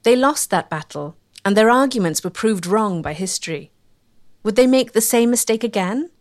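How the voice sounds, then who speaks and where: Woman doing documentary narration, steady, clear, mid voice. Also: mid-range voice. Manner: measured storytelling.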